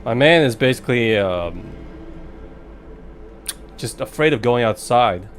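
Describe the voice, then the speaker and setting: A man doing casual live commentary as he plays a game, chatty and wry with halting reactions. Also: medium pitch, lively intonation. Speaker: game streamer. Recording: faint game music behind.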